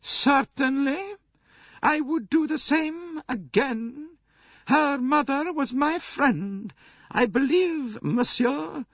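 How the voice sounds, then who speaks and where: Imperious, high-pitched old Russian princess. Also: male voice, fairly bright tone, dry recording, lo-fi sound, exaggerated voice. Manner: dry, haughty, slow deliberate delivery.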